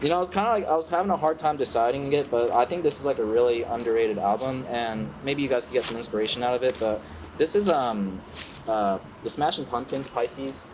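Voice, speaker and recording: A man's voice: mid-range voice, brisk, noisy background. A man in his thirties hosting a casual interview, laid-back and full of fillers. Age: 30s. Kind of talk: casual chat.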